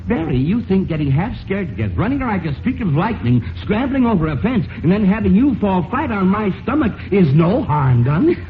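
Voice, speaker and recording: An exasperated middle-aged American dad, grumbling and sarcastic in old radio comedy style. His high voice swoops around on a muffled, noisy vintage recording. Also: very animated, moderate pace, hardly any pauses. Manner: grumbling, sarcastic, easily flustered. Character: exasperated, blustering middle-aged family father. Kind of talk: 1940s-50s radio comedy acting.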